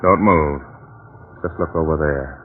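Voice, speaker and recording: An actor playing a determined, suspicious police detective in old-time radio drama, speaking in a clipped, commanding way. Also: male voice, very deep voice, a little slow, phone-quality sound. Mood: wary, intent.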